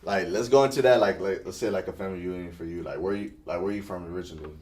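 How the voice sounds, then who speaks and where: A man's voice: low voice, very fast, darkish tone, distant mic, slight background noise. A young man hosting a casual podcast interview, talking in a hesitant way full of "like" fillers. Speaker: podcast host and blog writer.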